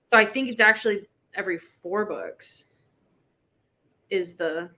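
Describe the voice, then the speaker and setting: A woman's voice: mid-range voice, unhurried, bright, forceful tone. A woman hosting a casual book chat livestream, with a playful, exclamatory, slangy way of talking. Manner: playful, exclamatory, slangy, spells out internet abbreviations. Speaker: book club live show host.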